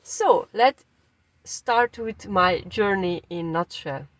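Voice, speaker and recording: A woman's voice: fairly low pitch, takes it slowly, dry recording, rough recording. A woman in her thirties from Transylvania with a Hungarian accent, a language coach earnestly telling her own story to camera with fillers and self-corrections. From Transylvania, Romania.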